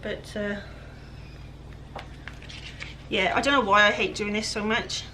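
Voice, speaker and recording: A woman with a croaky, raspy voice, chatting casually while she works through a furniture project. She sounds a little breathless and often lets her sentences trail off quietly. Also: mid-range voice, steady tone, takes it slowly, a little hiss or hum, low-quality recording. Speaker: furniture flipper. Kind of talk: casual how-to chat while working.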